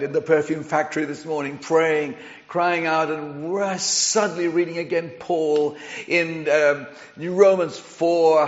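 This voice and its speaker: High-voiced English-accented preacher, man in echoey hall. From Chile. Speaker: visiting preacher and pastor. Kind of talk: sermon. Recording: dull, echoey hall sound.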